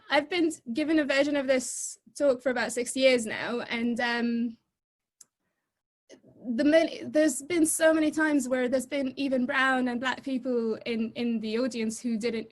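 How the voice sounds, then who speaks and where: Young woman, from Egypt, high voice, lively chatty presenter, video call. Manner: lively, chatty and informal, quick to laugh. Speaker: guest speaker.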